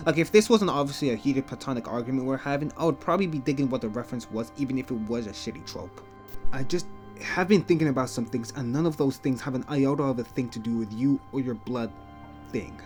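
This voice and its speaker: Casting: man as wry young guy for comic dub, medium pitch, slangy and rambling, music behind. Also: natural voice. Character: laid-back, slangy young human guy, evasively cool. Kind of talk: comic fan dub voice acting.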